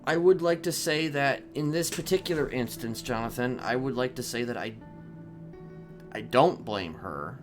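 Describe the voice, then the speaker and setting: A man in an improvised in-character roleplay conversation, talking haltingly and often restarting his phrases. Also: medium pitch, music in the background. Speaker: roleplay game player.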